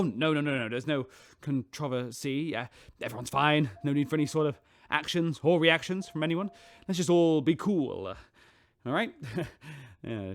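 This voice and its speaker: A flustered, evasive starship captain, who stammers through every answer. His voice sits fairly high and is recorded dry and close. He sounds like a sci-fi radio play figure. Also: dry recording. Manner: stammering, nervous, full of "uh". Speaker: ship captain.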